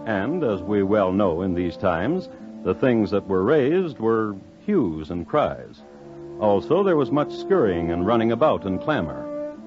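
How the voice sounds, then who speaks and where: An actor narrating a 1950s radio crime drama as a dry-witted, deadpan storyteller with formal, measured phrasing. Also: male voice, on the low side. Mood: droll, measured. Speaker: host and narrator. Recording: dull old radio recording. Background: faint music.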